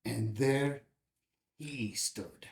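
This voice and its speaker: A man's voice: mid-range voice, very slow, creaky. A man playing a haunted, wistful small-town witness, brooding as he recounts an incident at a table read.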